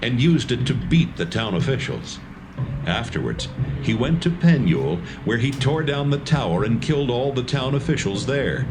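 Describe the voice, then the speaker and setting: A man narrating a dramatised scripture reading with a measured storytelling delivery. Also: medium pitch, very animated, background noise.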